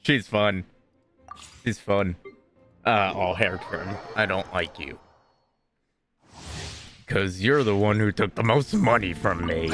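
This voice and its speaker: Rustige, lage mannenstem bij het gamen. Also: male voice, fairly low pitch, slow pace, pauses often. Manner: relaxed, mumbling asides. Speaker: gaming streamer. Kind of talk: casual gameplay commentary. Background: game music.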